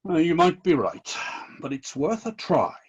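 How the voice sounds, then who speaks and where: A man acting in a radio play as God, a disillusioned but decisive ruler of heaven, with indignant questions and deliberate commands. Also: on the high side, very animated, slightly rough, dull audio, heavily acted. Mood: firm, hopeful.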